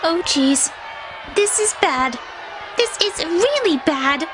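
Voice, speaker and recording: A woman's voice: very high-pitched, lively intonation, slow pace, full character voice. A woman voicing a wry, uneasy female pony in a cartoon audio drama, in a dry, awkward manner. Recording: music and crowd noise under the voice.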